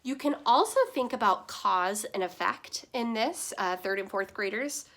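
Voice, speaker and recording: A woman reading a children's story aloud in the style of a teacher, with dramatic pauses and stressed words. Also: medium pitch, animated, a bit bright.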